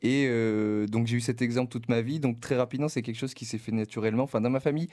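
A young man talks as a guest on a relaxed chat show. He is chatty and laughs easily, trailing off into hesitations as he goes. His voice sits at an ordinary middle pitch. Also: fast. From southeastern France, Var. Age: 20s. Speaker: guest comedian. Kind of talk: casual interview chat.

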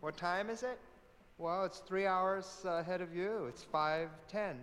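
A man chatting on a phone link, speaking in a halting, hesitant way. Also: high-pitched, a bit bright. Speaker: caller in a phone link event. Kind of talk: casual phone chat. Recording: very echoey hall with noise.

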